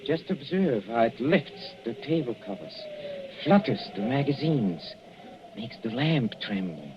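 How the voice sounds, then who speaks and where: An actor playing a gloating, murderous older professor in 1940s radio suspense style, mock-courteous and savoring every hesitation. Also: male voice, mid-range voice, big pitch swings, unhurried, noisy background. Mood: gloating, vengeful. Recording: muffled, dull old radio recording.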